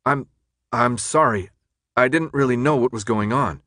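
A man's voice: medium pitch, moderate pace, fairly bright tone, dry recording, studio quality, close to an everyday voice. A man voicing a nervous, apologetic young newcomer in an audiobook, hesitant and pleading. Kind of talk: audiobook character dialogue.

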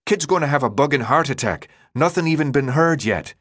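A man's voice: mid-range voice, animated, measured pace, fairly bright tone, slightly rough, pitched above the performer's own voice. A man voicing a friendly, cheeky teenage boy with a thick, odd accent and breezy slang in an audiobook. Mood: playful.

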